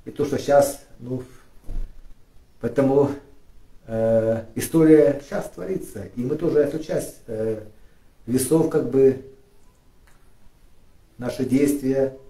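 A middle-aged pastor from Ukraine preaches in a hesitant, restart-filled way that turns lifted and forceful when he reads scripture. His voice is mellow and dark. He sounds far from the mic in a roomy, echoing space. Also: mid-range voice. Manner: halting, many fillers and restarts, rising emphatic when reading scripture. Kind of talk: sermon. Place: church studio with flags and lit cross. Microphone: far camera. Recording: echoey room, voice from a distance.

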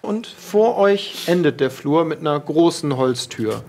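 A man's voice: fairly high pitch, lively intonation, measured pace, creaky, darkish tone, slight background noise. A man narrating a tabletop horror game as game master.

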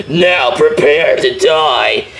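A man's voice: on the high side, creaky, heavy background noise, exaggerated voice. A man voicing a menacing, taunting nightmare animatronic monster in an amateur horror drama, gloating with theatrical threats, in a roomy echo with music behind.

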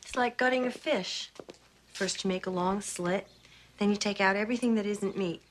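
A middle-aged woman acting in a film as a polite, matter-of-fact suburban lady who calmly plans to cook a boy. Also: medium pitch, normal speed, natural voice. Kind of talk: film acting, understated menace. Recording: film soundtrack with faint noise.

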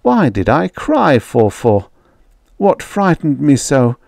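Man playing a high-pitched, pleading young boy. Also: sing-song, takes it slowly, gravelly, a little mellow, no echo, clean studio sound, heavily acted. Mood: frightened, pleading. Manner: breathless, exclamatory, childlike. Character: frightened, pleading young boy devoted to his robot.

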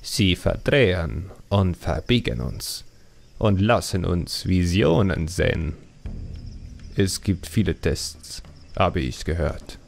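A man voicing a cocky but wary young male troll sidekick with a drawn-out, boastful and ominous manner, in a fantasy audiobook. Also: deep voice, animated, gravelly, faint music behind, exaggerated voice. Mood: serious, ominous.

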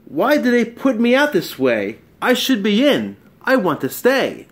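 A man's voice: high voice, huge pitch swings, unhurried, fairly bright tone, heavily acted. A man voicing an eager spotted animal in a storybook, boastful and pleading as it shows off. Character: eager spotted animal showing off magic tricks. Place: small bright room at home.

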